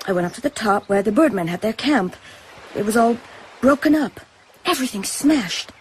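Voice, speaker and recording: A woman's voice: fairly high pitch, animated, moderate pace, a little mellow, slight background noise, close to an everyday voice, rough recording. An actress playing a wary, defiant young island woman who collects shells, speaking directly and challengingly in a radio drama. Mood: haunted, intense.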